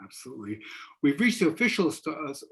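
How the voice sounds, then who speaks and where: An older man from the United States hosting a discussion as a professor, speaking in a halting, rambling way with many repetitions. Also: fairly high pitch, sing-song, a bit bright, breathy edge. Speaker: host, professor. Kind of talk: moderating a panel discussion. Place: indoor room at home, video call. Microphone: computer mic on video call.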